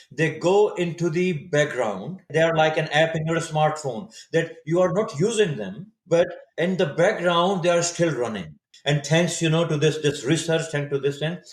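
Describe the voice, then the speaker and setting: A man in his fifties from Saudi Arabia, a native Urdu speaker, telling his story as a podcast guest, thoughtful and often pausing to restart his words. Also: fairly high pitch. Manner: reflective, searching for words with frequent restarts. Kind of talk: casual interview storytelling. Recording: echoey room sound.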